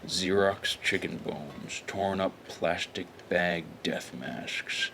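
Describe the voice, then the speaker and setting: A male actor playing a brooding, deadpan, wisecracking private eye in a noir audio drama. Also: low-pitched, takes it slowly, noisy background.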